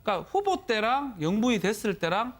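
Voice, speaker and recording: A man commenting on a news panel in a chatty, animated way. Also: high voice, fairly quick, slight background noise. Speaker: political commentator. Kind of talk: panel analysis.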